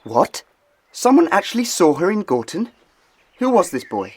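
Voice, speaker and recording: A man's voice: high voice, sing-song, normal speed, breathy edge, full character voice. An actor playing a worried young man, a devoted older brother, in a children's audio drama. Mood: startled.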